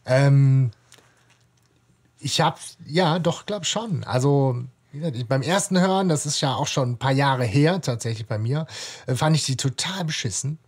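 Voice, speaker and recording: A man's voice: medium pitch, expressive, normal speed, breathy edge. A man co-hosting a podcast, talking casually and thinking aloud with some hesitation. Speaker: podcast co-host. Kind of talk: casual discussion.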